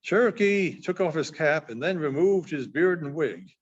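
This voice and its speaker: A man reading short stories aloud in an expressive storytelling style, as a librarian does. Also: fairly high pitch, normal speed, slightly rough. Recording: dull, muffled lo-fi sound.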